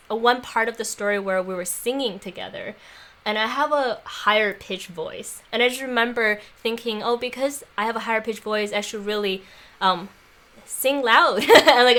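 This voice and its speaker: A young woman talking in a casual interview, thoughtful and warm, laughing often and using plenty of fillers. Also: fairly high pitch. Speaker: guest, former apprentice. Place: makeshift home studio on an island.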